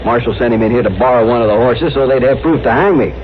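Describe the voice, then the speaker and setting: An actor playing a suspicious, hot-tempered frontier horse thief, gruff and curt, in a radio western. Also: male voice, mid-range voice, fast talker, close to an everyday voice. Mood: hard, angry. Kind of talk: old-time radio western acting. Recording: very noisy, tinny vintage radio recording.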